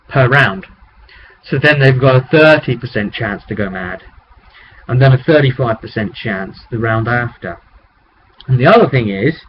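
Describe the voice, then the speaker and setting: A man talking casually as a game master, recapping a tabletop roleplay session in a rambling, animated storytelling way. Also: medium pitch. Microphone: webcam microphone. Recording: dull webcam audio with clear background noise.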